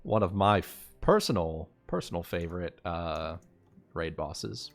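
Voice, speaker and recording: A man's voice: sits low, measured pace, creaky. A man in casual gamer chat on a livestream, jokey and prone to dramatic quoting. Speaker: host of a tabletop game livestream. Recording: music behind the voice. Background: background music.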